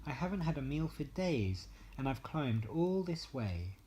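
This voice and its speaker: A man voicing a naive, earnest, excitable young boy adventurer in a bedtime story. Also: fairly high pitch, measured pace, pitched above the performer's own voice. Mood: pleading.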